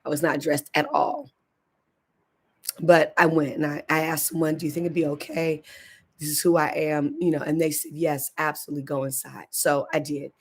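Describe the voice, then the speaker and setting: A middle-aged woman with a hoarse voice, hosting a morning motivational livestream as a minister and telling a personal story in an earnest way. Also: sits low, level tone, moderate pace, a little hiss or hum. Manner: earnest, storytelling, sometimes emotional. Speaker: minister and show host.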